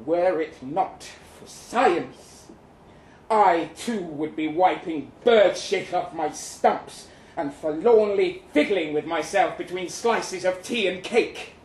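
A man's voice: high voice, slow, a little mellow. A bitter, witty crippled young soldier played by a man reading live tells his tale with theatrical, wry flourish. He takes his time over florid phrases. The sound is echoey and off-mic, with light noise. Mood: mock exasperated. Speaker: performer reading a short story aloud. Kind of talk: dramatic live literary reading in character. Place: live event venue in London, indoors. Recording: roomy, distant live recording with noise.

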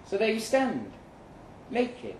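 A man reading a first-person short story aloud dramatically, with measured delivery and deliberate pauses. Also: high voice, wildly swooping pitch. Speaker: short story reader at a live event. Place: event room in a pub. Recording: roomy, distant mic with faint noise.

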